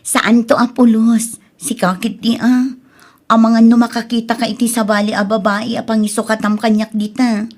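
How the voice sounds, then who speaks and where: An actress playing a soft-hearted young woman in love in an Ilocano radio drama, with an Ilocano accent, speaking emotionally and hesitantly in a breathy voice. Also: a little high, level tone, moderate pace, natural voice. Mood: tender, longing.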